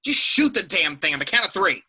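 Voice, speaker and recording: Man playing a brisk, bright, nonchalant secret agent. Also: high-pitched, big pitch swings, breathy edge, exaggerated voice. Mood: businesslike. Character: nonchalant, deadpan male secret agent veteran.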